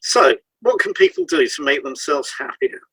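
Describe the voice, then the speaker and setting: A man's voice: fairly high pitch, wildly swooping pitch, moderate pace, vocal fry, fairly bright tone, breathy edge, no room sound, low-quality recording. A man co-hosting an educational podcast, reading from a prepared script. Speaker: podcast co-host, hypnotherapist.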